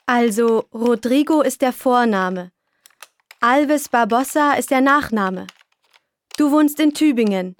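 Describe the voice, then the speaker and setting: Woman voicing a helpful young friend, high voice, slow clear careful words, dry close sound. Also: lively intonation, dry recording. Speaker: friend filling in a form. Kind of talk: scripted textbook dialogue.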